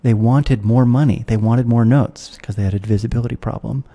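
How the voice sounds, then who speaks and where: A man in his thirties with an American accent, a guest on a podcast interview who explains history in a measured, lecturing way over a video call. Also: medium pitch, fairly even intonation, mellow. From United States. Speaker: guest philosopher. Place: room at home with guitars. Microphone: studio mic with headphones, video call.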